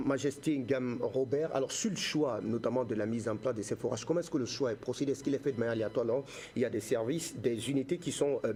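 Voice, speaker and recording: A male television interviewer has a warm, dark voice of average pitch. He asks long, emphatic questions and rephrases as he goes, over faint background noise. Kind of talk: talk show interview questioning.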